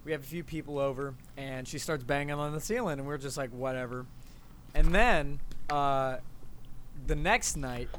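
A man's voice: mid-range voice, a bit bright, slight background noise. A young American man chatting and telling stories casually and bluntly as a podcast co-host. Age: young adult. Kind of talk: casual chat and storytelling among friends.

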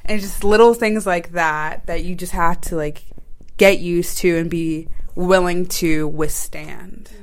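A young woman from Maryland hosting a casual conversation, chatty and quick to laugh. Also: fairly low pitch, fairly even intonation. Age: young adult.

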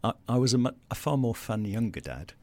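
A man's voice: medium pitch, very animated, a little fast, a little mellow. A man in his sixties with a South African accent, a guest in a casual interview who hesitates, restarts and repeats words while speaking earnestly.

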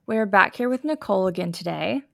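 Casual pod host, young woman, scratchy and sniffly, fry in the voice, talks with lots of likes and ums. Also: medium pitch, animated, a little fast. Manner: chatty, lots of fillers. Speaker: podcast host. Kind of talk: casual chat.

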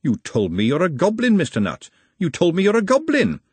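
A man voicing a sharp, practical, exasperated woman in a storybook, speaking in a wry, direct way. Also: mid-range voice, very animated, fairly quick, slightly rough, no room sound, voice pushed up. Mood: demanding.

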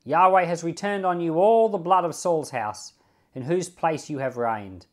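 Guy reading as an angry man cursing a king in a bible story, loud accusing declamation. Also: a little high, measured pace, voice pushed up, natural voice. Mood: scornful, angry.

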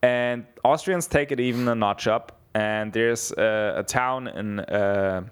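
A man's voice: mid-range voice, takes it slowly, smooth. Young guy from bavaria, rambly chat, lots of swearing and ums. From Germany (southeast Bavaria). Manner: rambling, swears a lot, many fillers and restarts. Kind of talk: casual chat.

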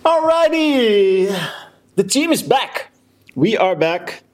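Young guy cohosting a chat podcast, kinda high voice, stops and restarts a lot. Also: very animated. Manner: halting, many fillers and restarts. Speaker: podcast co-host. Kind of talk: casual chat.

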